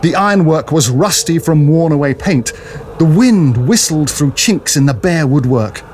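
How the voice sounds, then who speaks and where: A man's voice: a little high, lively intonation, measured pace, a little mellow, a little hiss or hum. A man narrating a story dramatically from a script, as in an audio drama.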